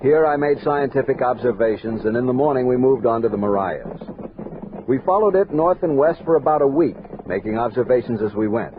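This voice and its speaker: An actor playing a steady army captain who narrates his frontier journal in a formal, measured, authoritative radio drama style. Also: mid-range voice, phone-quality sound, noisy background, lightly acted. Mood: grave narration. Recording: thin, band-limited vintage radio recording.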